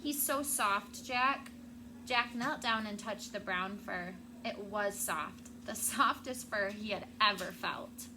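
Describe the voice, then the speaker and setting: A woman's voice: mid-range voice, measured pace, few pauses. A woman schoolteacher reading a storybook aloud to children. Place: classroom. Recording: clear background noise.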